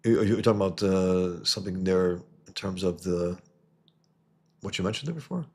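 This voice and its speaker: Low, husky man chatting. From New York, USA. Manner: halting, restarts and repeats words. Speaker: livestream host and comic artist. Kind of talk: casual livestream chat reading viewer comments.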